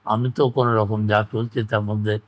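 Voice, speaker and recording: An older man, reminiscing in conversation as an interview guest, measured and speaking in short broken phrases. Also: on the low side, little pitch movement. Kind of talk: reflective conversational recollection.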